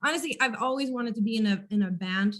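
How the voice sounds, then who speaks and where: A young woman with a naturally raspy voice talks as a guest in a casual interview. She hesitates, restarts and fills gaps with "like" and "you know". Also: on the high side, fast talker. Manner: casual, hesitant, laughs often. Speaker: band vocalist, interview guest.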